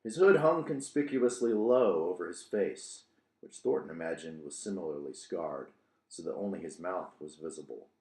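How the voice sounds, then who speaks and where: A man's voice: sits low, animated, moderate pace, darkish tone. A man hosting as an author, reading his own novel and talking about it. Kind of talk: audiobook narration with casual commentary. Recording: roomy, echoing room sound.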